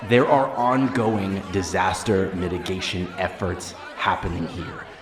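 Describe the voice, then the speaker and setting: A man portrays a dutiful officer in an audio drama, low-pitched and formally authoritative. The voice echoes, with a clear crowd murmuring around it. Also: fairly even intonation, measured pace. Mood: firm, official. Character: male official escort, firm, orderly, dutiful. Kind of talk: dramatic audio roleplay acting. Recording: echoey outdoor scene with crowd noise.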